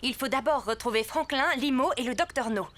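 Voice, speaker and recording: A woman's voice: high-pitched, fairly quick, fairly bright tone. A woman voicing an earnest, determined young hero in a French cartoon dub.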